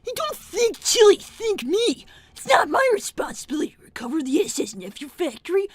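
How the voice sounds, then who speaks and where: A woman's voice: very high voice, very animated, brisk. A woman voicing an exasperated young fox inventor in a casual, argumentative improvised livestream roleplay. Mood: defensive, exasperated.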